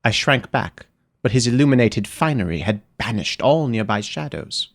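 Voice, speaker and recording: A man narrating a dark fairy tale in the first person, with expressive, dramatic storytelling. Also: mid-range voice, normal speed. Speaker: audiobook narrator.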